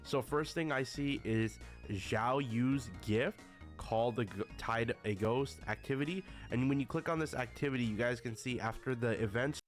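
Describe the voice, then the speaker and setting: A man's voice: mid-range voice, normal speed, faint music behind. Slightly sick male gaming YouTuber. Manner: addresses viewers often as guys. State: a little sick. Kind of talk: casual video commentary.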